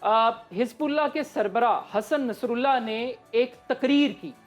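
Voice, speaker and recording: A woman's voice: on the high side, measured pace, soft background music. A woman with a Pakistani accent explaining current affairs emphatically as a studio analyst. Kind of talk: live political commentary.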